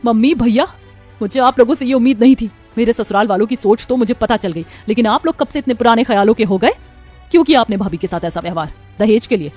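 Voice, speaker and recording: A woman's voice: fairly high pitch, a little mellow. A woman playing a wronged, principled young married daughter in a cartoon family drama, plaintive and earnest.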